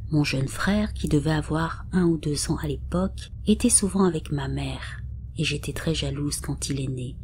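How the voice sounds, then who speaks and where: Woman narrating spooky stories low n hushed, mellow dark tone, faint music behind. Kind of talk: scripted narration read aloud. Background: faint background music.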